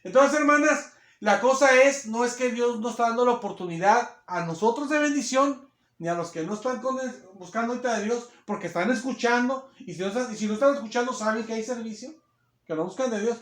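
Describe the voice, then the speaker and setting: A man's voice: very high-pitched, brisk, fairly bright tone, reverberant. A man preaching a sermon, with a strong voice that he holds back and then lets rise into emphatic bursts. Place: church.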